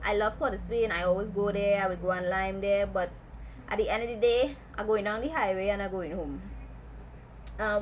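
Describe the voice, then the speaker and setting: A young woman from South Trinidad with a Trinidadian accent, chatting casually to camera in a lively way with local slang. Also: mid-range voice, slight background noise, rough recording. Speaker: vlogger.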